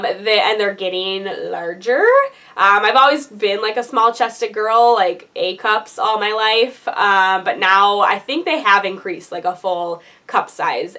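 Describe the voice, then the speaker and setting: Bright, chatty young woman vlogger. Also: medium pitch. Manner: chatty, many fillers and "like"s. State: congested. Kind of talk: casual vlog update.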